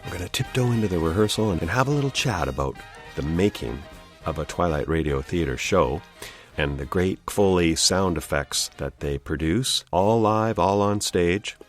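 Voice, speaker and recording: A man's voice: low-pitched, lively intonation, normal speed. A man hosting a podcast, introducing an episode in scripted narration. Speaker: podcast host. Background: music.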